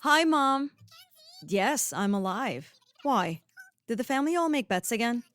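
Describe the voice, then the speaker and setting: A competitive, sarcastic young agent in an audio comedy. Her highish voice leaps about expressively, punchy and exasperated, with some music underneath. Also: big pitch swings, a little slow, a bit bright, slight background noise. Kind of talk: audio comedy drama acting.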